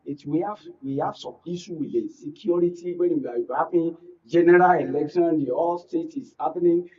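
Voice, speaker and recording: A Nigerian man with a husky, mellow and slightly high voice talks as a panel guest. His delivery is halting and repetitive, with frequent checks that listeners follow. The recording is muffled and a bit distant. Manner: halting, repetitive, punctuates with "you understand". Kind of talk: political discussion on a radio and TV panel. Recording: muffled, distant-mic, lo-fi studio pickup.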